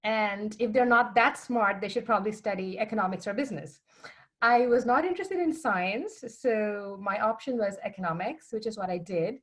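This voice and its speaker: A woman giving an informal, polite and earnest talk to students as guest speaker over a video call. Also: mid-range voice. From Bangladesh.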